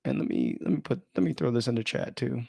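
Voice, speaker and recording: A man's voice: medium pitch, fast talker, gravelly, dark tone, slightly rough, no room sound. A man chatting informally and thinking aloud as he runs an unplanned live stream, often interrupting himself in a rambling way.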